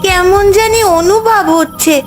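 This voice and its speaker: A woman's voice: very high voice, a little slow, loud music behind the voice. A woman voicing a sweet, innocent young village girl with magic in a fairy-tale cartoon, childlike and wide-eyed. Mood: wonder.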